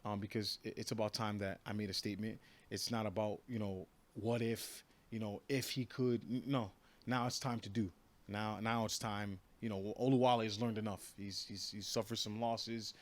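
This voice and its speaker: A man's voice: fairly low pitch, steady tone, normal speed. A man in his thirties from New York, a professional fighter giving a casual interview, talking brashly and confidently with many repeated restarts. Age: 30s.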